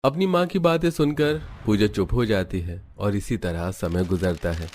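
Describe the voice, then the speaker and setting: Deep-voiced man narrating a story. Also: normal speed, darkish tone, faint music behind. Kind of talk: scripted cartoon story narration.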